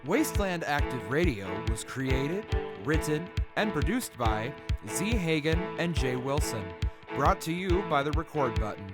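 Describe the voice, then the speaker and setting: A man reading scripted credits as the announcer of an audio show. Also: fairly high pitch. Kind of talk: scripted narration.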